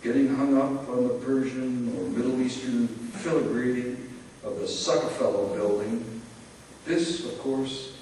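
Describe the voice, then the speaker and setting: A man giving a theatrical spoken-word poetry reading in a church, with emphatic phrasing and dramatic pauses. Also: medium pitch, a little mellow. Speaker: poet reading aloud at a poetry event. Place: large church interior, live reading. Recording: very echoey church hall, far-off mic, faint noise.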